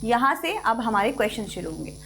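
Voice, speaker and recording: Indian woman teacher, fairly high voice. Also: sing-song, pushed and bright, slight background noise. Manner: explains in Hindi mixed with English, reads English lines slowly. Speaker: English teacher. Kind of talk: live online class explanation.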